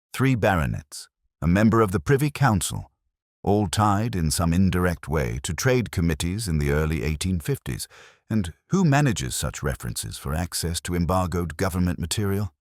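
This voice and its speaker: Man acting brooding gentleman detective, very low voice, swooping emphasis, deliberate, analytical, audiobook character. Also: no echo, clean studio sound. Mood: analytical, intense.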